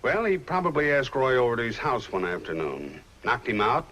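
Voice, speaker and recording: An actor playing a calm, shrewd private detective in a 1950s film noir, with an unhurried, wry delivery. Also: male voice, medium pitch. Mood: matter-of-fact. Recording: noisy old film soundtrack.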